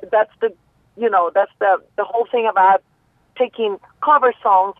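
A woman's voice: mid-range voice, dry recording. A woman with a Peruvian Spanish accent, a musician guest talking playfully over the phone. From Peru. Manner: lively, playful, laughs easily. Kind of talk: phone interview. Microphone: telephone. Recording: tinny phone line.

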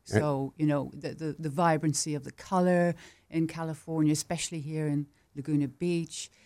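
A woman's voice: deep voice, fairly even intonation, moderate pace. A woman in her fifties with an Irish accent, talking casually about teaching art and laughing often. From Ireland. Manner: chatty, laughs often, warm and enthusiastic. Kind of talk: casual interview chat.

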